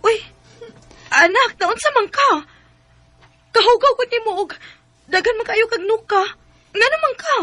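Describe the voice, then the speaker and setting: A woman's voice: very high-pitched, expressive, measured pace, pauses often, pushed and bright, no room sound, full character voice. A woman acting a struggling, tender young single mother in a radio drama, earnest and emotive, with a Bisaya Filipino accent. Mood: worried.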